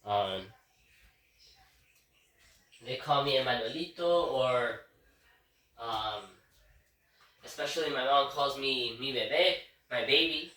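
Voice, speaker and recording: A man's voice: medium pitch, takes it slowly, long pauses, pushed and bright. Congested man rambling at the viewers. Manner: rambling, keeps calling out to "guys". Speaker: vlogger giving a daily reflection. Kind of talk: casual rambling monologue. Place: home kitchen. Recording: roomy, distant kitchen recording.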